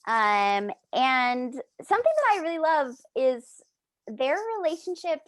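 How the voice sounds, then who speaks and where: A woman with a fairly high voice, recorded very dry. She is an actress in informal panel talk and takes her time, speaking very slowly. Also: very animated, a bit bright, dry recording. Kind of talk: casual panel talk about a role.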